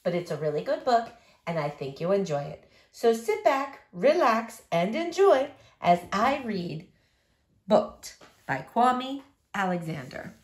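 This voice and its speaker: A woman's voice: mid-range voice, big pitch swings, a little slow. Woman reading a verse novel aloud. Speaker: read-aloud reader.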